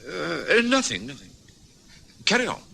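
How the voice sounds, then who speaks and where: An actor with a British accent playing a courteous, slightly hesitant middle-aged doctor who also narrates, in a vintage radio drama. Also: male voice, on the high side, very animated, normal speed, creaky, sharp tone, heavily acted. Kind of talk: 1950s-60s radio drama acting.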